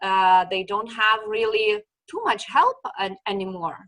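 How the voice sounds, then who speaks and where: A woman's voice: mid-range voice, unhurried, fairly bright tone. A woman in her forties with a Lithuanian accent, hosting a casual interview as a sleep consultant, chatty and searching for words with fillers and repeats. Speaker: host and children's sleep consultant.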